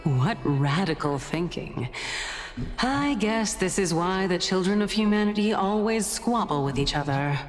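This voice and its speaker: An actress playing an aloof, probing spirit inside someone's mind in a fantasy game, detached and measured. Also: female voice, medium pitch, lively intonation, soft background music, natural voice. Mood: detached, needling. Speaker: voice actor. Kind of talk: scripted fantasy game dialogue. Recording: long reverb, faint noise.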